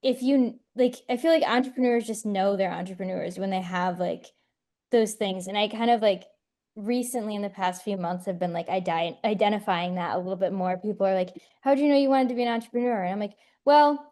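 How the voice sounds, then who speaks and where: A woman's voice: medium pitch, brisk, warm tone. A woman in her twenties from near Chicago, a guest author telling her story in a chatty, filler-heavy way on a podcast. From northwest Indiana, near Chicago. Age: 20s. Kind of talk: casual podcast interview.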